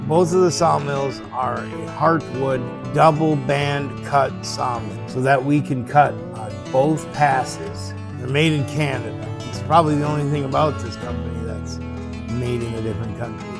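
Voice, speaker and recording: A man's voice: mid-range voice, unhurried, vocal fry, music in the background. A man in his thirties, a sawmill owner, casually narrating his own footage from a small office in a chatty, self-deprecating way. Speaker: sawmill business owner and vlogger. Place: small wood-paneled office.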